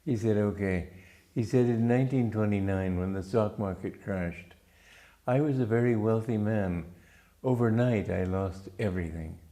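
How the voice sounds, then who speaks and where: An older man from Romania with a mixed English-American accent, giving a calm spiritual talk with stories. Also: lowish voice. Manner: calm, measured, storytelling. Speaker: spiritual teacher.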